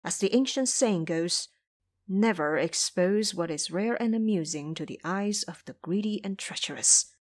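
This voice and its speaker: A woman voicing a cautious, stern male Buddhist master in an audio drama, hushed, formal and admonishing. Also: medium pitch, animated, moderate pace, lightly acted. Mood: hushed warning.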